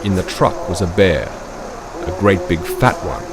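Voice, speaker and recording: A man's voice: low-pitched, lively intonation, a little slow, a crowd in the background. A man narrating a rhyming children's story as the author reading his own book. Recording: loud music behind the voice.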